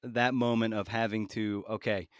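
A man with a fairly low voice hosts a conversational podcast interview. His questions come out casually and haltingly, circling before they land. The sound is dry, with very little room in it. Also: little pitch movement, dry recording.

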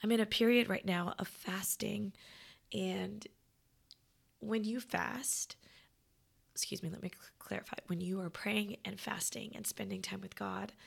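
A woman hosting a podcast, her voice hoarse and raspy after losing it. She talks in a halting, breathy half-whisper, and a gravelly fry runs through her mid-range voice. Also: medium pitch. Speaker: podcast host. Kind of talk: casual reflective monologue.

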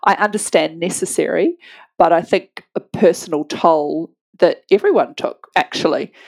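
A woman in a relaxed podcast interview. Her voice sits a bit low for a woman. She talks candidly and conversationally. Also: moderate pace, gravelly. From New Zealand. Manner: candid and chatty, laughs easily. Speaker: guest, anaesthetist.

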